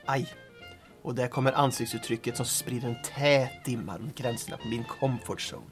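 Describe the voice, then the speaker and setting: An actor playing a wry, loyal but cautious sergeant sidekick in old-time radio drama style. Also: male voice, medium pitch, faint music behind, natural voice. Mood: wary. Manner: dry, wry, understated.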